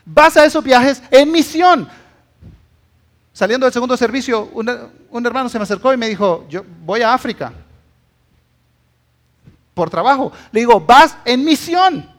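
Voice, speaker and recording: A man's voice: very high-pitched, expressive, a little slow, long pauses, a bit bright. A man with a Guatemalan accent preaches as a pastor, emphatic and exhortative, often checking in with short tag questions. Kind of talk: sermon.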